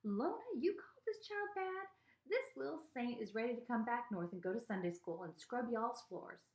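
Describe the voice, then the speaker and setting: A woman's voice: a little high, very animated, moderate pace, voice pushed up. A woman voicing a feisty, doting old Southern grandmother in a story, folksy, bossy and affectionate, with a Southern US accent. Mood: loud, triumphant. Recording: echoey room.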